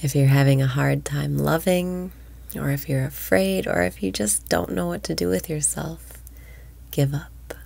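Woman, meditation guide, slow pace, gravelly, whispering, soothing. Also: sits low, darkish tone.

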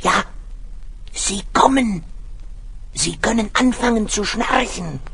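Ein Mann spielt in einem Kinderhörspiel ein Zeichentricktier, das bestimmend und beruhigend die Wache anführt. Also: male voice, high voice, slow pace, creaky, fairly bright tone, a little hiss or hum, full character voice. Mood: reassuring, conspiratorial. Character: calm reassuring cartoon animal leading a guard team. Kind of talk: children's audio play acting.

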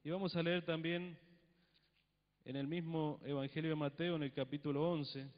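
A man's voice: fairly high pitch, fairly even intonation, normal speed. A middle-aged man from Argentina, a pastor, reading scripture and preaching into a handheld microphone in a church hall, with solemn emphasis on the readings. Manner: reads scripture with solemn emphasis, then talks plainly with the congregation. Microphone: handheld mic. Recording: long church reverb, dull lo-fi broadcast sound.

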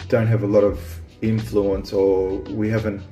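A man's voice: fairly low pitch, flat delivery, measured pace, mellow, echoey room, faint music behind. A man who grew up in Australia, hosting a casual chat about small-town life, rambling with lots of restarts and ums. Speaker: vlog host.

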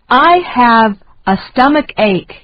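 A woman reading short phrases slowly and deliberately for learners to repeat. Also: on the high side, lively intonation, dull audio, slight background noise. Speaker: English lesson reader.

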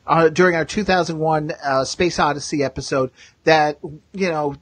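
A man with a husky voice hosts a live podcast. He chats casually and repeats phrases as he goes. The sound is dry and close. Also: a little high, moderate pace, fairly bright tone, dry recording. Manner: chatty, repeats himself. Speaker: podcast host.

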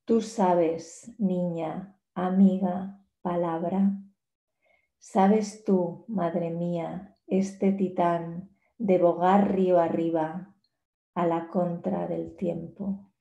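A woman in her forties reads poetry aloud, slowly and with care, in a fairly low, mellow voice. The sound comes from a laptop on a video call and has the roominess of a small bare room. Her delivery is measured and unhurried. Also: little pitch movement, vocal fry, dull audio. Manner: slow, measured poetry reading. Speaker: poet giving a talk. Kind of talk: reading poems aloud in a talk. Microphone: laptop webcam on a video call. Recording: echoey room.

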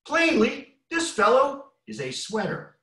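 A man voicing the tiniest hen, high and squeaky, stretching out words dramatically. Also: huge pitch swings, slow, bright, forceful tone, full character voice. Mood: certain. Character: tiny panicky peeping hen. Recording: roomy echo.

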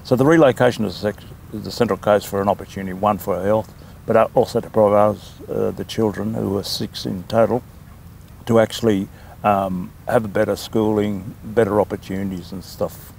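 An older Australian man with a low, gravelly voice, talking at length and easily, often pausing on an um. He speaks in a relaxed, conversational interview. A faint hiss of outdoor background noise sits behind him. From New South Wales, Australia. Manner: talkative, unhurried, with frequent ums. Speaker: land council chairman, interview guest. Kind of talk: casual interview.

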